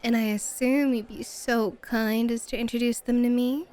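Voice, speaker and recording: A woman playing a sly, playful club hostess in a flirty ASMR roleplay, teasing with coy, mock-scandalized, drawn-out phrasing. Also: on the high side, fairly even intonation, a little mellow, natural voice. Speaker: guest voice actor.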